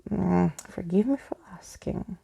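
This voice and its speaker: A woman's voice: fairly low pitch, unhurried, vocal fry, warm tone, breathy edge, full character voice. A woman voicing a courtly, eccentric male skeleton from a storybook, with a pompous, old-fashioned manner. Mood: politely hesitant.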